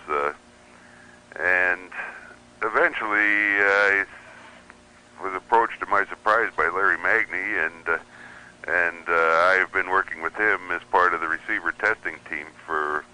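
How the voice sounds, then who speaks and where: Deep-voiced hesitant man on a radio show. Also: sharp tone, rough recording. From United States. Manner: hesitant, many uh fillers and restarts. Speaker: guest radio receiver reviewer. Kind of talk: casual interview chat.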